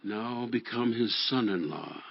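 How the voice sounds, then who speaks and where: An actor playing a jealous, scheming middle-aged king in a dramatized Bible audiobook, with a husky, deliberate, swelling delivery. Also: medium pitch, expressive, lo-fi sound. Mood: falsely gracious command.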